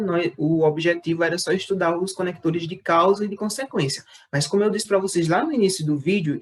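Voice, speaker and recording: Patient male teacher explaining to kids. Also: a little high. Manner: patient and explanatory, slows down to read out example sentences; checks in often with the class with "né?", "ó" and "perceberam?". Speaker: school teacher. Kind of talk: recorded online lesson for sixth graders.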